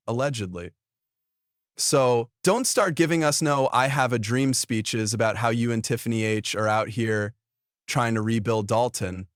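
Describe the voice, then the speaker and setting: A man's voice: medium pitch, no room sound, clean studio sound. A man hosting online gossip commentary in a blunt, mocking, taunting way.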